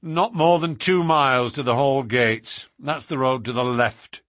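A man reading aloud, voicing a surly, hostile village innkeeper who talks bluntly and loudly. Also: mid-range voice, measured pace, no echo, clean studio sound, lightly acted. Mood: curt. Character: gruff surly male village innkeeper and smith.

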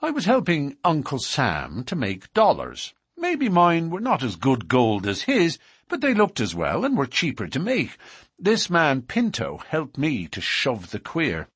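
A man voicing a bold, quick-tongued outlaw newcomer in an audiobook, self-assured and defiant in a matter-of-fact way. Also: on the high side, wildly swooping pitch, a bit bright, breathy edge, no echo, studio quality, voice pushed up, full character voice. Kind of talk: audiobook character dialogue.

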